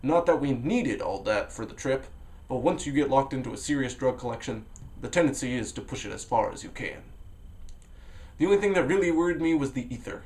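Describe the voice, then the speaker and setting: Male vlogger reading a novel aloud, medium pitch, dramatic, leaping loud then dropping to a hush. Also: a little fast. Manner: expressive, with sudden jumps in pitch and loudness; drops to a low, hushed voice for inner thoughts.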